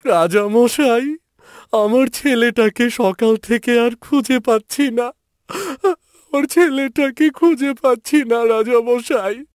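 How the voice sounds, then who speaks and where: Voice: medium pitch, takes it slowly, a little mellow, dry recording, studio quality, voice pushed up, natural voice. A man voicing a distraught, pleading villager and parent in a fairy-tale cartoon. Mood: desperate, pleading.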